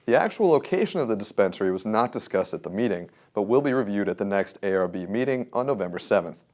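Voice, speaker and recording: A man's voice: lowish voice, expressive, quick pace, a little mellow. An American man reading a scripted local news report as a reporter.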